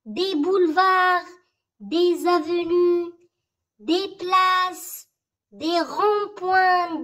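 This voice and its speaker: Very high child-like voice, very slow recitation. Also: fairly bright tone. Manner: measured, short phrases with pauses. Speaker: reciter of a French school poem. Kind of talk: slow poem recitation for memorization.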